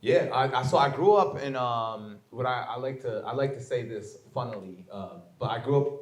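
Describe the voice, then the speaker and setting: A man's voice: mid-range voice, normal speed. A man with an American accent telling his story casually as a guest, often checking in with short tag questions. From born in Germany, grew up in upstate New York. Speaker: interview guest, poet. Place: indoor venue live event. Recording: roomy echo of a live venue.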